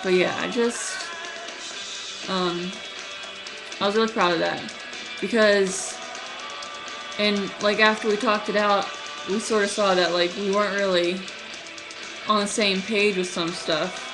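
A young woman in college who stutters, talking casually to her webcam in a small room, with halting speech, many fillers and restarts. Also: medium pitch, steady tone, music in the background, low-quality recording. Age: young adult. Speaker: vlogger. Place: small room at night, indoors. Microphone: webcam at close range.

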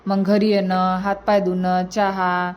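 A woman's voice: on the low side, little pitch movement, slow, highs cut off. A woman voicing a homely, earnest young married niece in a cartoon comedy, with a Varhadi Marathi accent. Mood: matter-of-fact. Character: homely young married niece visiting her village family. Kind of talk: cartoon comedy dialogue in rural dialect.

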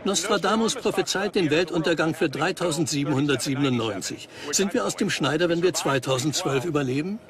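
A man's voice: a little high, a little fast, few pauses, a crowd in the background, lightly acted. A man in a dubbed documentary conversation, one of the presenting team. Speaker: documentary team member asking questions.

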